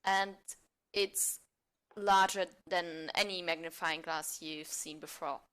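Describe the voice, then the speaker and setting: A woman's voice: fairly low pitch, steady tone, slow, a bit bright, clear, resonant tone. A woman speaking as a podcast guest, casual and a little hesitant as she finds her words. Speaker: theatre group member, podcast guest. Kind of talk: casual chat and improvised banter. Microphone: recorded remotely on a phone.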